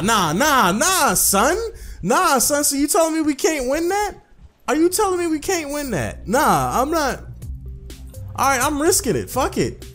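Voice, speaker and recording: A man's voice: high voice, fairly bright tone. A man doing a casual let's play, swearing and repeating himself in slang as he comments and reads game text aloud. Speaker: gaming video host.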